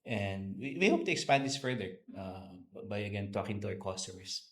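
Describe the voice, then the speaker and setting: A man's voice: lowish voice, lively intonation, brisk, fairly bright tone. A Filipino-accented man in his fifties answering interview questions off the cuff, hesitating and repeating words as he goes. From Philippines. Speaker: car company executive being interviewed. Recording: roomy, echoey indoor sound.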